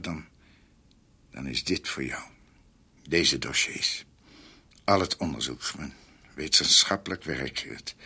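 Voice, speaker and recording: Radio play: an ailing, needy old doctor. Forceful edge on a husky voice, halting speech. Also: male voice, deep voice, full character voice. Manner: halting, hesitant. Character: ailing older male doctor and scholar, proud, needy. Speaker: actor in a radio drama. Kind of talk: radio drama acting.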